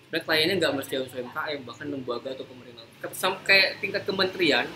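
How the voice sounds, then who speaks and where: A man's voice: fairly high pitch, animated, fast talker. A man hosting a casual live talk, explaining informally and mixing in English business terms. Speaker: host, creative agency founder. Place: room, live social media broadcast. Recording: noisy live-stream room recording.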